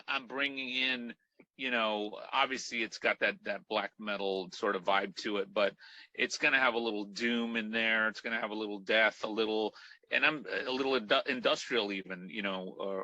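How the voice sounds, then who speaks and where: Man with a sharp, bright voice. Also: fairly low pitch, steady tone, moderate pace, no room sound, dull audio. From Mexico. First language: Spanish. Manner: rambling, many fillers and restarts. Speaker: guest musician. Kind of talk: casual interview chat.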